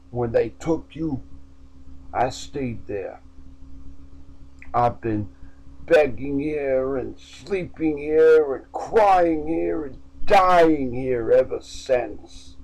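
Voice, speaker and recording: A man reads a grim first-person story at an unhurried, deliberate pace. His voice sits at an ordinary middle pitch. The delivery stays heavy and somber throughout. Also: darkish tone, slightly rough, poor audio. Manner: slow, somber, downbeat delivery. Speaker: storyteller reading his own short story.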